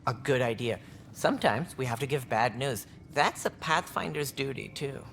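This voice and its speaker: A man playing a cold, cautious colony director, measured and officious, in a video game. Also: mid-range voice, lively intonation, creaky, a bit bright, slight background noise. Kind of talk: video game cutscene acting. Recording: faint background ambience.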